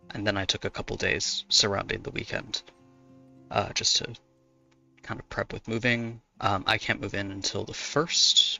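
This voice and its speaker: A man chatting casually while he draws on a stream, his voice hoarse from talking a lot. He rambles, corrects himself and laughs often. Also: fairly low pitch, sharp tone, highs cut off. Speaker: artist streaming while drawing. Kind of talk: casual chat answering viewers.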